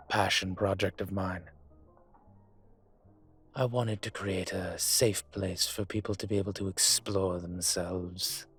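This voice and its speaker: A man playing a suave, teasing dragon mafia boss in an intimate roleplay audio, speaking deliberately with a husky voice. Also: low-pitched, exaggerated voice. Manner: smooth-talking, deliberate, teasing.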